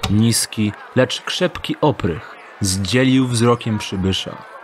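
A man narrating an audio drama in a measured, deliberate storytelling manner. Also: on the low side, background noise.

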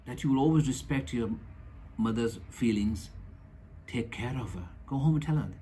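A man's voice: mid-range voice, lively intonation, moderate pace, mellow, slight background noise. A man in his sixties, a clinic doctor, giving a heartfelt, preacher-like holiday message to camera from a small office. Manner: earnest and preacher-like, with repeated appeals. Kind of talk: heartfelt scripted address to camera. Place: small office with certificates on the wall.

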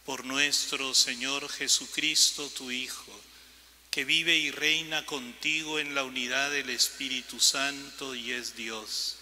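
A priest at the altar saying a closing prayer at Mass, solemn and unhurried. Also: male voice, mid-range voice, fairly even intonation, bright, forceful tone. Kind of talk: liturgical prayer. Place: large church, at the altar. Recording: church broadcast with long reverb.

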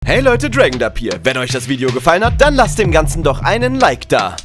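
A male video creator addresses viewers in an upbeat scripted outro. His voice is slightly high with lively pitch movement, and music plays faintly behind him. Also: a little fast. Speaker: video creator, channel host.

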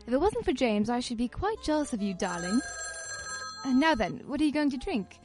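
An actress playing a sociable society wife hosting a party in a radio play, gushing and brisk. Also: female voice, sits high, sing-song, music in the background. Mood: gracious, gushing.

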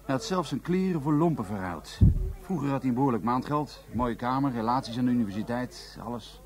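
A man's voice: mid-range voice, expressive, a little fast, close to an everyday voice. An actor playing a sombre, determined Japanese man working with police in a radio play.

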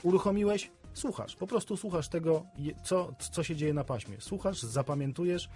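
Man, mellow relaxed timbre, radio guest, explanatory, repeats words, faint music behind. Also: a little high, normal speed. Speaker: CB radio specialist, studio guest. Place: radio studio.

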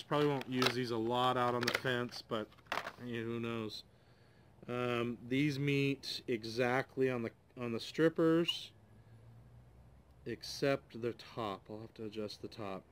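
A man's voice: mid-range voice, fairly bright tone, a little hiss or hum. A man in his forties reviewing tools at an outdoor table, thinking aloud with plenty of hesitations. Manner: rambling, hesitant, thinking aloud with many ums. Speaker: DIY channel host. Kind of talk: casual tool review.

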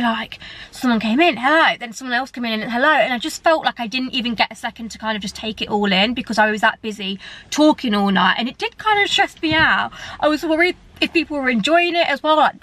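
A woman's voice: on the high side, fairly bright tone. A young woman chatting to the camera from inside a parked car, rambling and talkative with lots of fillers. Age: young adult. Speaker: vlogger. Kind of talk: casual chat to camera. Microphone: camera close up, held at arm's length.

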